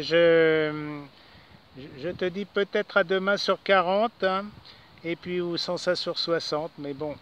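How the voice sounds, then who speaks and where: A man's voice: high voice, a bit bright, muffled recording. A man chatting casually as an amateur radio operator outdoors. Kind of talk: casual radio contact chat. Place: outdoors in a park. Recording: band-limited outdoor radio recording, faint noise.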